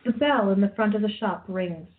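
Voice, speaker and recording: A woman's voice: medium pitch, moderate pace. A woman narrating a radio play, reading scripted stage directions. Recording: tinny phone-like audio.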